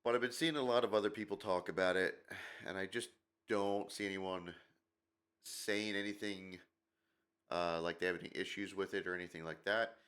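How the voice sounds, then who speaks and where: A man's voice: sits low, fairly even intonation, normal speed, a bit bright. A man hosting a gaming channel, talking in a rambling, opinionated way with lots of fillers, his nose stuffed up from a cold. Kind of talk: casual commentary video.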